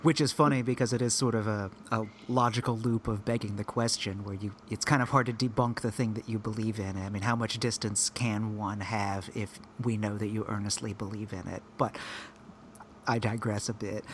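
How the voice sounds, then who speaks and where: A man's voice: mid-range voice, fairly bright tone, a little hiss or hum. A man co-hosting a podcast, talking casually and rambling through his points with frequent restarts as he thinks aloud. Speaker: podcast co-host.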